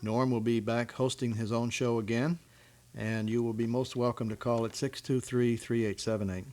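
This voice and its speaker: Male stand-in talk radio host, medium pitch, informal amateur interviewer on a 1970s local station. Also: level tone, moderate pace, darkish tone. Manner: informal, unpolished amateur delivery.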